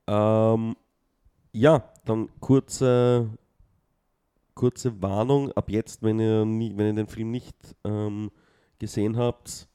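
A man's voice: lowish voice, warm tone, smooth. A man co-hosting a casual podcast chat, hesitant, repeating words and saying "ja" a lot. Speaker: podcast co-host.

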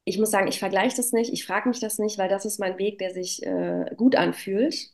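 A woman with a mid-pitched voice talks in a casual interview. She thinks aloud in a reflective way, often checking in with small tag questions. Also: steady tone, normal speed, vocal fry, a little mellow. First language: German. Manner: reflective, filler-laden with frequent "ne" tags. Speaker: interview guest, self-employed business owner. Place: at home.

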